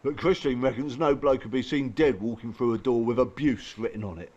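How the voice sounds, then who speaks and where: Actor as a blunt, supportive bloke. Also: male voice, medium pitch, a little fast, a little mellow, highs cut off, natural voice. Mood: blunt, rueful. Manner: blunt, colloquial, reassuring. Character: blunt, supportive working-class footballer, male abuse survivor. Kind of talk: audio drama acting.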